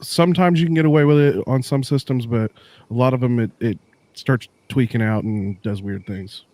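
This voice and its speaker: A man's voice: mid-range voice, moderate pace, darkish tone, no echo. Dry-sounding man talking tech. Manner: rambling, explains with restarts. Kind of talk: casual tech chat. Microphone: desk mic through a mixer.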